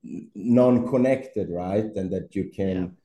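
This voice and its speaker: A man's voice: deep voice, slow, roomy sound, highs cut off. A Swedish man, a startup CEO, answering interview questions with many fillers, restarts and easy laughs. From Sweden. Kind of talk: podcast interview conversation.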